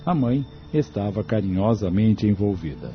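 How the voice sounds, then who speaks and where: A man's voice: lowish voice, lively intonation, normal speed. A Brazilian man reading scripted narration for an audio drama. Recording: muffled lo-fi audio. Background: music.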